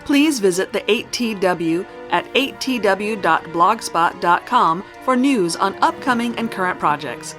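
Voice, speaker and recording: A woman's voice: medium pitch, lively intonation, unhurried, hardly any pauses. A woman announcer reading scripted credits for an audio drama. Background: music.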